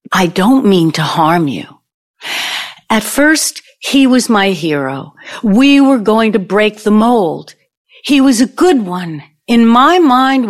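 A woman in an audio play acting a vengeful, older ex-girlfriend who needles and tells her story theatrically. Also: mid-range voice. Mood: earnest, bitter. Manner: deliberate, taunting, storytelling delivery.

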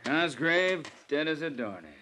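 Wisecracking male cop, sharp forceful tone, brisk sardonic manner, old radio drama, slightly noisy recording. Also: on the high side, very animated, exaggerated voice. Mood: wry, matter-of-fact. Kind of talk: 1950s radio drama acting.

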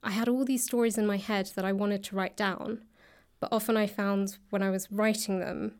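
A woman's voice: medium pitch, fairly even intonation, normal speed, darkish tone. A woman chatting as an interview guest, explaining things hesitantly and rephrasing as she goes. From south London, England. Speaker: podcast guest, writer and actor. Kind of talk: casual conversational interview.

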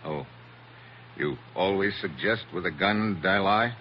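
An actor playing a tough, wisecracking American special agent in a hard-boiled 1940s radio adventure. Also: male voice, deep voice, measured pace. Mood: wary, sardonic. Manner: wry, hard-boiled, casual. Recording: dull, noisy old radio recording.

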